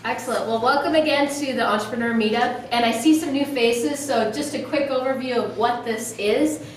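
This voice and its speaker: A woman's voice: fairly high pitch, moderate pace. A woman hosting a meetup gives a welcome speech and introduces a speaker, partly reading. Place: indoor meetup room. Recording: very echoey room, distant mic, faint noise.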